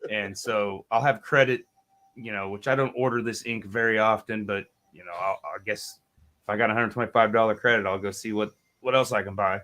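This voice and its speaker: A man's voice: on the low side, fairly even intonation, fairly quick, bright, forceful tone. A man hosting a casual music talk show, telling stories in a laid-back way and acting out phone calls. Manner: laid-back storyteller, acts out phone calls in put-on voices. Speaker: podcast host. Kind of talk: casual chat, storytelling.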